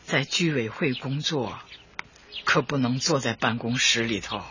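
An actress in a radio drama playing a brisk, cheerful older female community party secretary, chatty and emphatic as she instructs. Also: a bass voice, a bit bright, a little hiss or hum. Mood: earnest, instructive. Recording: dull audio with music behind. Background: background music.

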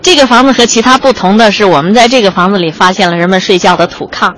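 A woman's voice: medium pitch, fast talker, a bit bright. A woman giving an unscripted guided tour, explaining things step by step as she points them out. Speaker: museum guide. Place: on location at an exhibition site. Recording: clearly noisy on-site ambience.

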